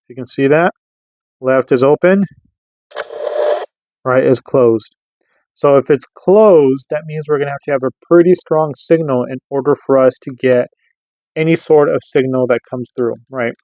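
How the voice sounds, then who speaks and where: A man's voice: mid-range voice, unhurried, long pauses, darkish tone, no room sound. A man giving a casual, hands-on gear review, explaining informally and often checking in with the listener. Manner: informal, loosely explanatory, rhetorical check-ins. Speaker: host of a radio gear review channel.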